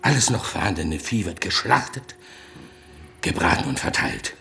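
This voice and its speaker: An actor playing a desperate villager who argues in an urgent, impassioned way in a radio play. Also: male voice, low voice, big pitch swings, normal speed, creaky, pushed and bright, exaggerated voice. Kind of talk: German radio play acting.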